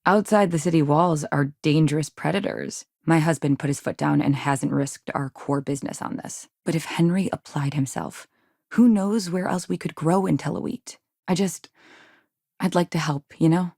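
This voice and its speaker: An ambitious frontier businessman's wife in a video game, her voice low and touched with gravelly creak. She sounds polished and earnest, chafing with exasperation at being held back. The recording is close and dry. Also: fairly even intonation, normal speed, dark tone, no echo. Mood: matter-of-fact, then wistful, frustrated. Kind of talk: video game dialogue acting.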